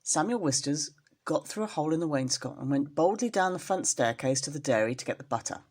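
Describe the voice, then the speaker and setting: A woman storyteller reading expressively to young children, in an engaging, animated manner. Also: low voice, brisk. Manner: animated, addresses young listeners with questions.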